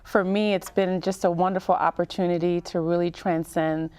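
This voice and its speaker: A woman in her thirties from Cameroon, speaking as a mayor in an interview in a measured, explanatory way with emphatic lists. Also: fairly low pitch, fairly even intonation, a little mellow, clean tone. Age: 30s. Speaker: town mayor, interview guest. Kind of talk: public-affairs interview.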